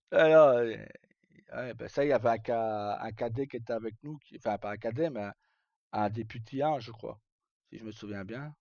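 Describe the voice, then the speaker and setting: Male streamer, relaxed dark tone, colloquial rambling storyteller, slightly muffled audio. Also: medium pitch, a little slow, long pauses, no room sound. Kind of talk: casual live chat, recounting roleplay stories.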